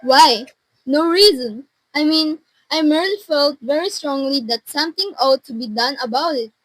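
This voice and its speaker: A woman's voice: high voice, normal speed, lightly acted, low-quality recording. A young woman in her teens playing an imperious, anxious married lady in an amateur radio drama, insistent and indignant. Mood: flustered. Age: teenager.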